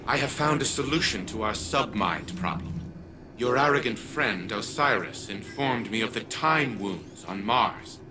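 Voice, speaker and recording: A man's voice: fairly low pitch, animated, a little slow, noisy background. A man voicing an arrogant, imperious older scientist patriarch in a video game, pompous and condescending. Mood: smug, businesslike. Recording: background music under the voice.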